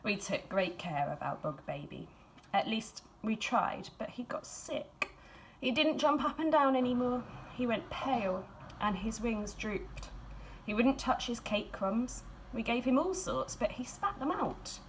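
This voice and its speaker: A woman reads a children's storybook aloud expressively, in a measured storytelling manner with dramatic emphasis and hushed phrases. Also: on the high side, expressive, slight background noise, low-quality recording. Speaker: school staff member reading a picture book aloud.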